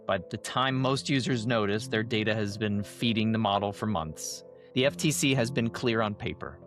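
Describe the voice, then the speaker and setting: A man hosting a podcast, delivering a scripted monologue while sick and losing his voice, measured and emphatic. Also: lowish voice, faint music behind. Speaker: podcast host.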